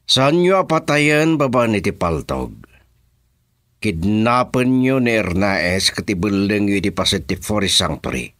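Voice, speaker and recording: A crime boss in an Ilocano radio drama, with a low voice that swings widely as he gives orders. He is commanding, short-tempered and threatening, and his Ilocano accent comes through on a close, dry recording. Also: very animated, measured pace, fairly bright tone, dry recording. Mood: menacing. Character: menacing crime boss ordering a kidnapping, quick-tempered man.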